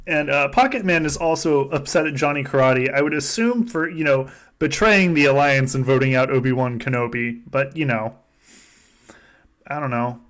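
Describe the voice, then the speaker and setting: A man's voice: mid-range voice, moderate pace, fairly bright tone. A man hosting a podcast game in casual, joking chat, swearing freely as he goes. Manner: sweary, jokey. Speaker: podcast host running a fan survivor game.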